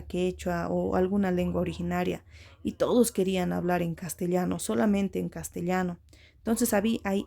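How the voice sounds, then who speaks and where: Schoolteacher, woman, gravelly and mellow, patient classroom explainer who keeps checking in with the kids. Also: fairly low pitch. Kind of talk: classroom lesson to children.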